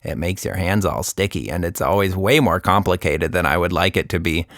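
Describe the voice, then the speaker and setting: A man's voice: low voice, brisk, fairly bright tone, no echo, clean studio sound. A man voicing a fussy, opinionated magical monkey in a children's story, rambling on conversationally. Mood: fussy, chatty.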